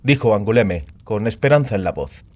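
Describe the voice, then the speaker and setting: A man's voice: on the low side, animated, fairly quick, a little mellow. A man reading scripted narration for a fantasy audiobook.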